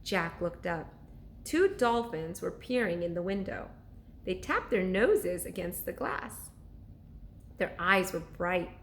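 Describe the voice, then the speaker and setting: A woman reading a children's story aloud like a teacher to pupils, with expressive, deliberate narration. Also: on the low side, a little hiss or hum.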